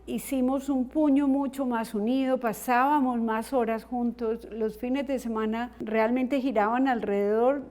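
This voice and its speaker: Middle-aged female podcast host, psychologist, measured and explanatory, higher register. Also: steady tone, darkish tone. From Colombia. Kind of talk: reflective family conversation.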